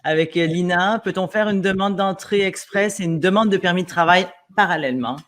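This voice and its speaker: A woman's voice: fairly low pitch, a bit bright. A woman hosting an interview, reading out viewer questions and adding brief acknowledgements. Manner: brisk, brief back-channel replies.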